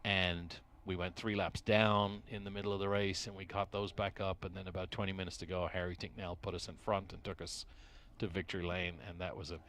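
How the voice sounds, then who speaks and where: A middle-aged man from Dublin with an Irish accent, talking as an interview guest at a race track, pausing often and restarting his sentences. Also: low voice, flat delivery, a bit bright. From Ireland. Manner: hesitant, many restarts and fillers. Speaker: interview guest, racing team executive. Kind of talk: casual interview. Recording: faint noise at a race track.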